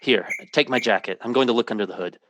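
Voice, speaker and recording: An actor playing a frightened, protective husband and father in an old-time radio drama, urgent and halting. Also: medium pitch, muffled recording, noisy background, close to an everyday voice. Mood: worried.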